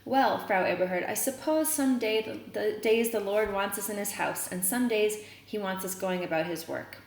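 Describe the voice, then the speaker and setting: A woman's voice: mid-range voice, normal speed, natural voice. A woman voicing a weary but firm mother in a storybook read-aloud, with a pointed, reasoning manner. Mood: politely pointed. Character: weary, firm mother, sharp when challenged. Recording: roomy echo, noticeable background noise.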